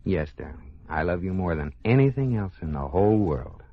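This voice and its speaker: An actor playing a wry, affectionate banker husband with dry, teasing sarcasm, in an old radio comedy style. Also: male voice, low-pitched, sing-song, moderate pace, exaggerated voice. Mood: sleepy, playful. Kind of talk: 1940s-50s radio comedy acting. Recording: dull, noisy old radio recording with clicks.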